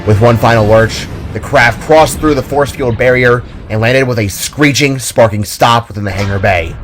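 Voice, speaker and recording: A man's voice: mid-range voice, moderate pace, a bit bright, faint music behind. A man narrating a scripted audio drama in a dramatic storytelling manner.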